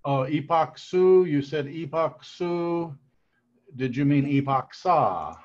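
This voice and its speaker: An older man moderates questions over a slightly dull video-call line. He speaks slowly and haltingly, in an informal way. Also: a little high, expressive, a little mellow. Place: home room, on a video call.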